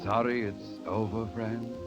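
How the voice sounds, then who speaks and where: An actor playing a smooth, flirtatious British army major with a British accent, in a muffled 1930s radio drama. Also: male voice, fairly low pitch, little pitch movement, unhurried, over music, close to an everyday voice. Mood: suave, flirtatious.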